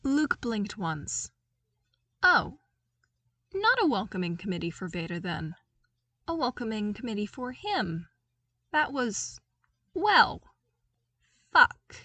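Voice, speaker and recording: A woman narrating a story as a podfic reader, in an expressive, dramatic way with emphatic exclamations and pauses. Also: on the high side, no echo, far from the mic. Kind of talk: expressive scripted fiction narration.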